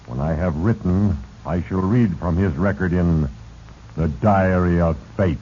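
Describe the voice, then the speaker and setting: An actor playing Fate, a sinister, solemn spirit who narrates mortals' doom in a grave, deliberate manner for a 1940s radio drama. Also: male voice, low voice, dark tone. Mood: ominous. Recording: dull, noisy 1940s radio recording.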